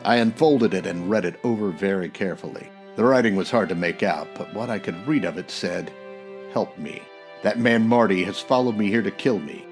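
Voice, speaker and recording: A man's voice: on the low side, normal speed, natural voice. Man playing a hard-boiled radio private eye, music behind. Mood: brooding narration. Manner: terse, sardonic, tough. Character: hard-boiled, wisecracking male private detective from old-time radio. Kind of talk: 1940s radio noir acting and narration.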